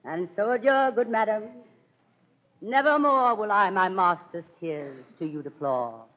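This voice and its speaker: A woman's voice: mid-range voice, expressive, measured pace, muffled recording, close to an everyday voice. A woman playing a young noblewoman disguised as a page boy, speaking formal verse in 1930s radio Shakespeare style. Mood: earnest, guarded. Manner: theatrical, formal verse delivery. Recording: vintage 1930s radio broadcast.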